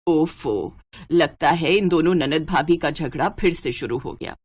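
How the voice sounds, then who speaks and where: A woman's voice: sits low, a little slow, breathy edge, no echo, voice pushed down. A woman voicing a stern, exasperated elderly mother-in-law in a story, scolding and commanding. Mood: exasperated, ordering.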